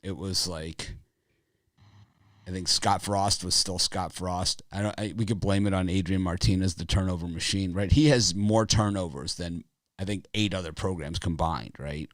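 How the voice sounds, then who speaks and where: A man with a raspy voice hosting a sports betting show, talking casually in a rambling, opinionated way with lots of fillers. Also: deep voice, normal speed, fairly bright tone, no room sound, studio quality.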